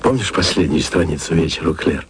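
Jealous bookish lover, radio play, very low voice, wry musing tone, confiding. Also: male voice, quick pace, breathy edge, exaggerated voice. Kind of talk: intimate radio drama monologue.

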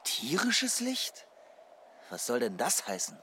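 A man's voice: mid-range voice, huge pitch swings, slow, sharp tone, a little hiss or hum, full character voice. An actor playing a polite, inquisitive, somewhat gloomy male assistant in a German radio drama. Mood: puzzled. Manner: polite, questioning, formal.

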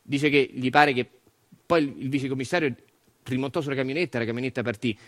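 Actor as quick-talking Roman worker telling his story. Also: medium pitch, fairly even intonation, fairly bright tone, lightly acted. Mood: insistent. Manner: colloquial, repetitive refrains addressed to his mother. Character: retired Italian factory worker recounting his life to mother. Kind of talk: theatrical monologue storytelling.

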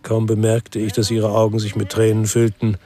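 An actor playing a brooding former policeman who narrates his own crime story in a measured, terse way for radio drama. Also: lowish voice, completely flat delivery, warm tone, faint music behind, natural voice. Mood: reflective. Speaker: first-person narrator and lead actor.

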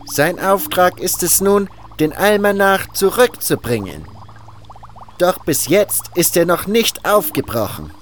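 Man acting a haughty, slow-speaking cult guru. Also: high voice, very animated. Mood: impatient, condescending. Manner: haughty, hissing, drawn-out contemptuous delivery. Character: haughty fanatical male cult guru and alchemist. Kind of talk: dramatic audio drama acting.

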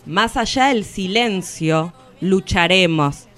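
An actress playing a defiant woman who speaks for all women, in a solemn, deliberate radio theatre recitation. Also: medium pitch, lively intonation. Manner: solemn, deliberate, poetic declamation. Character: defiant collective woman of all ages, grieving and accusing.

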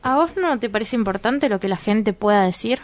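A woman's voice: mid-range voice, lively intonation, fairly quick, dry recording, natural voice. A woman with an Argentine accent playing a prim, sensible young woman in a radio drama, earnest and questioning. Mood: disapproving. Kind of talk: scripted radio drama acting.

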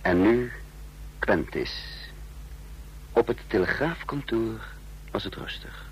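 Shrewd spy guy, measured and knowing, lowish voice, old radio play with a bit of noise. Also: sing-song. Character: shrewd secret agent narrating his own spy scheme. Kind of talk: radio drama narration and acting. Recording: faintly noisy old radio recording.

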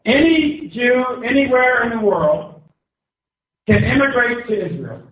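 Southern US man, guest speaker, high pitch, slow measured delivery; thin, phone-like sound in an echoing hall. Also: animated. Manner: declamatory, speaking in short phrases that pause for translation. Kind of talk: public speech given through a consecutive interpreter. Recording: echoey hall, distant tinny mic.

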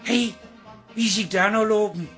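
High-pitched, teasing Dutch husband. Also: male voice, normal speed, a bit bright. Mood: curious. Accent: Dutch, from the Netherlands. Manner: colloquial, teasing. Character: Toos's teasing, excitable, affectionate male partner. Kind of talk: naturalistic radio drama acting. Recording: music behind the dialogue. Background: music.

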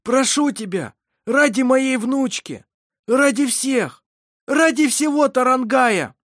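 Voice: mid-range voice, animated, normal speed, no room sound, clean studio sound, pitched above the performer's own voice, close to an everyday voice. A reader voicing a grave, earnest old grandfather, imploring and resigned, in an audiobook. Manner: sighing, muttering, earnest.

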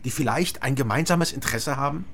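An actor playing a stubborn civilian investigator and wry narrator in a radio drama, dry and understated. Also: male voice, mid-range voice, brisk, creaky, heavy background noise, close to an everyday voice. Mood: polite, insistent.

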